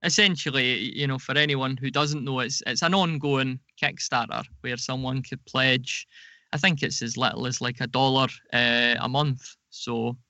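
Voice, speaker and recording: A man giving casual, explanatory interview answers as a podcast guest, speaking in a measured way with some hesitation. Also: medium pitch, steady tone, sharp tone, dry recording. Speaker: podcast guest, audio drama producer.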